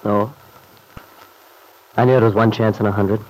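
A male actor as a tired, stoic man in a vintage radio play. His voice is low and subdued, and he speaks politely with a hesitant, downbeat manner. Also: steady tone, normal speed, darkish tone, close to an everyday voice, low-quality recording. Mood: weary, resigned. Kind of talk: old-time radio drama acting.

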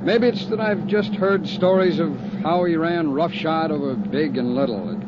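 A man's voice: on the high side, normal speed, close to an everyday voice. An actor playing a worried, talkative insurance investigator in a 1950s radio drama, rambling a little as he lays out the case. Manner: chatty, rambling, uneasy. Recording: dull lo-fi old radio recording, hiss.

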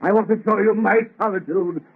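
Man-made monster, raspy and theatrical, bitter and lonely, vintage radio horror on lo-fi narrow sound. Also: high-pitched, fairly even intonation, fairly quick. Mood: bitter reproach. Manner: declamatory, anguished, theatrical. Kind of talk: 1930s radio horror acting. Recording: muffled, lo-fi vintage radio recording.

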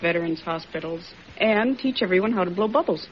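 A woman's voice: on the low side, lively intonation, brisk. Woman in her 20s on an old radio quiz, chatting with the host, muffled and noisy. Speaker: quiz contestant. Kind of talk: casual answers to a host.

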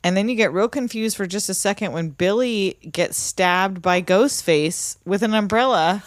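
A woman's voice: mid-range voice, normal speed, no room sound. Wry, blunt woman in a dry-sounding recording. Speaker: podcast co-host. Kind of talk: casual movie recap chat.

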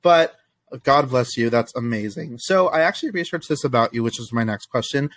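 A man's voice: medium pitch, animated, fairly quick, dry recording. A man hosting a podcast interview, friendly and chatty, laughing along with his guest. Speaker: podcast host. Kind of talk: casual interview chat.

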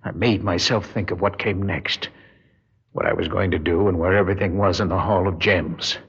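A man's voice: deep voice, steady tone, normal speed, breathy edge, full character voice. An actor playing a nervy, guilt-ridden burglar who tells his crime in a hard-boiled radio drama style. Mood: uneasy. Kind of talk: radio drama acting with first-person noir narration. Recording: muffled vintage radio recording, music behind.

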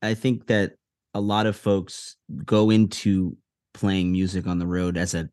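A man's voice: sits low, completely flat delivery, unhurried, a little mellow, no echo, clean studio sound. A man hosting an interview in a casual, chatty style. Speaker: interviewer, podcast host.

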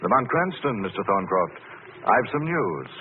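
An actor playing a shrewd, self-assured gentleman detective, composed and urbane, in old radio drama style. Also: lowish voice, big pitch swings, normal speed. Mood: falsely genial. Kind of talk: 1940s radio drama acting. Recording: narrow, noisy old radio recording.

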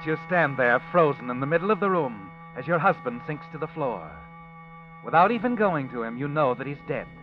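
A man narrating a radio drama in the second person, measured and ominous. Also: fairly high pitch, lo-fi sound. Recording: old radio recording with music underneath.

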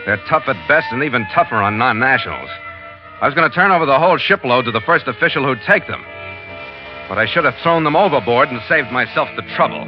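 An actor playing a tough, wry ship captain in an old-time radio drama, with clipped, slangy talk. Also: male voice, medium pitch, close to an everyday voice. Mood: wry narration. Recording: dull, lo-fi vintage radio recording.

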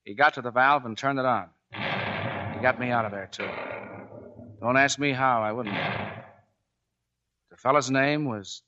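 An actor playing a gruff, commanding, plain-spoken Navy chief who tells his story, in 1940s radio drama style. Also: medium pitch, a little slow, long pauses, pushed and bright, dull audio. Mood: sober narrating. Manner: barking orders, plain-spoken narration.

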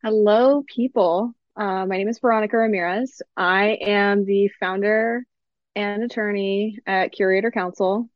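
A woman's voice: medium pitch, measured pace, dry recording. Laid-back woman from Miami, dull dry sound. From Miami, United States. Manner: relaxed, many fillers, laid-back. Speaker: attorney and guest. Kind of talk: casual interview chat.

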